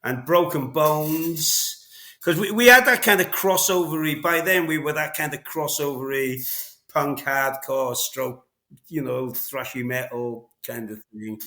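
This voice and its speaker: A man's voice: fairly high pitch, unhurried, pushed and bright. Welsh man, rambling in a roomy space. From Wales. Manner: rambling, frequent fillers and restarts. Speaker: guest, veteran drummer. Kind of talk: casual interview conversation.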